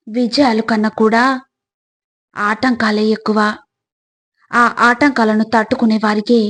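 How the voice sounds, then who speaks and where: A woman reads scripted motivational reflections in a measured way, addressing the listener directly like a narrator of life lessons. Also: a little high.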